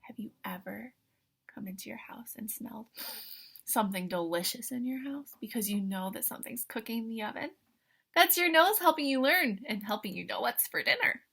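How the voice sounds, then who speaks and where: A woman reading a picture book aloud to young children like an excited teacher, with animated wonder, questions and asides in a breathy voice. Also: fairly high pitch, moderate pace.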